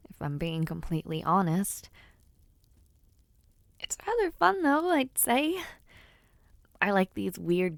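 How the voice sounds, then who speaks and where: A young noblewoman in an audio roleplay, teasing and a little flustered, voiced by a woman. Her pitch swings widely and she speaks at an unhurried pace. The voice is recorded close up and dry. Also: dry recording. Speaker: voice actor.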